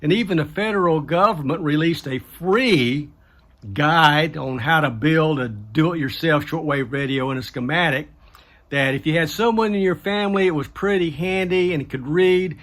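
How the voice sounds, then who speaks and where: Higher-pitched man, folksy explainer. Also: normal speed, a bit bright. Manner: folksy, plain-spoken, colloquial grammar. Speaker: host of a do-it-yourself radio build series. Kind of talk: casual explainer vlog.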